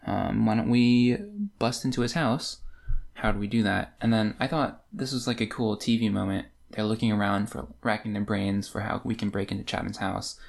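Podcast co-host with noticeable vocal fry, mid pitch, informal and wry book chat. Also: fairly even intonation, moderate pace. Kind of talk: casual book discussion chat.

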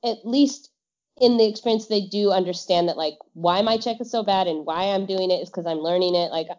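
A woman's voice: mid-range voice, a little fast, darkish tone. Chatty woman guest, laughs easily, loose rambling delivery, dull lo-fi sound. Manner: chatty, laughs often, trails off. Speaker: English teacher. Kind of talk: casual podcast chat. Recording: dull lo-fi audio.

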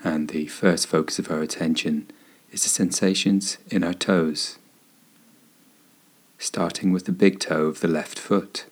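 Low-voiced man guiding a meditation, husky. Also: fairly even intonation. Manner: gentle, soothing, unhurried instruction with pauses. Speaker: meditation guide. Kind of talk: guided body scan meditation narration.

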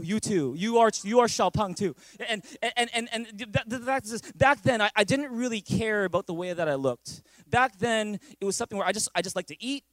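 High-voiced man preaching. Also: no echo, low-quality recording. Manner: repeats phrases and checks in with listeners. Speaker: pastor. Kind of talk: conversational sermon with personal storytelling.

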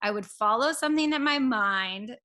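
A bright, clear-toned woman in her thirties chats casually as an interview guest. She talks loosely and candidly, pokes fun at herself and laughs often, with plenty of "like" and "you know" in her speech. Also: fairly high pitch, normal speed. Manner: chatty, self-deprecating, laughs often. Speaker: podcast guest.

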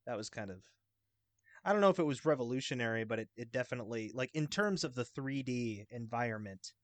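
A man's voice: medium pitch, lively intonation, fairly quick, no echo. A man on a podcast panel, talking informally in a loose, rambling way. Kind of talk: casual chat.

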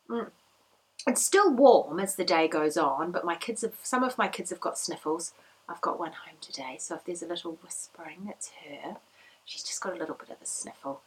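Lady podcaster, bit croaky today, chatty and upbeat, lots of ums. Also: fairly low pitch, poor audio. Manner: chatty, upbeat, rambling with fillers. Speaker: podcast host. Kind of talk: casual chat.

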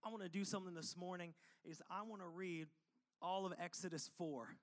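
Young male pastor, high voice, sniffly from a cold, chatty and energetic, casual sermon. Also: fairly quick. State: sniffly, slightly losing his voice from a cold.

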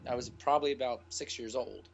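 A man's voice: medium pitch, a little fast, creaky, lo-fi sound, slight background noise. A man who grew up in Wales, a guest on a casual podcast, talking in rambling sentences full of restarts. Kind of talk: casual discussion.

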